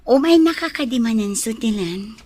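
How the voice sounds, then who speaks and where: A woman's voice: fairly high pitch, animated, normal speed, darkish tone. A woman acting as a firm, questioning lady of the house in a radio drama.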